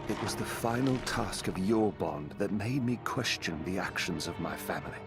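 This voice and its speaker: An actor playing a solemn, burdened demigod oath keeper in a video game, speaking in a grave, deliberate, breathy storytelling way. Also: male voice, deep voice, animated. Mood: sorrowful, confessional. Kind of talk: dramatic video game cutscene acting. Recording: loud music under the voice. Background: loud cinematic score.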